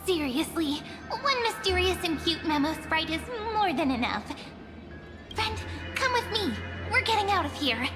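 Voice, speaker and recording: A cute little memory sprite for an anime game. The voice is girlish and extremely high, chirpy and affectionate, with a playful air of mystery. Clear music plays behind it. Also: big pitch swings, moderate pace. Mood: playful, urgent.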